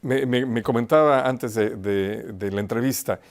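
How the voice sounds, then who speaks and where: A man's voice: medium pitch, normal speed. A man interviewing a guest conversationally, hesitating and repeating words as he forms his questions. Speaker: interviewer, host.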